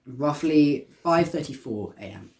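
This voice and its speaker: A man running a tabletop game as game master, talking in halting bursts with many fillers and restarts. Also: a little high, lively intonation, noisy background.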